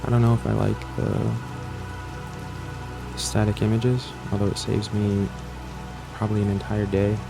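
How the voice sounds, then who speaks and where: Gravelly, low-voiced man chatting casually. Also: little pitch movement, normal speed, long pauses, poor audio. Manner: hesitant, rambling, self-critical. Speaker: channel creator. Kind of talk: unscripted casual chat to viewers. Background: music.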